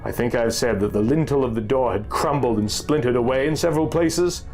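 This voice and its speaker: A man reading a first-person pulp horror story as a narrator, in a formal, measured, dramatic literary style. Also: mid-range voice, darkish tone, low-quality recording. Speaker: audiobook narrator. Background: music.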